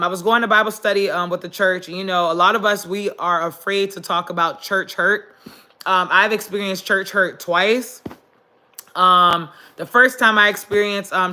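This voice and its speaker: A woman vlogger chatting casually on a live stream, raspy and congested as she loses her voice, greeting viewers and using many fillers. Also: mid-range voice, bright, forceful tone. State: congested, losing voice.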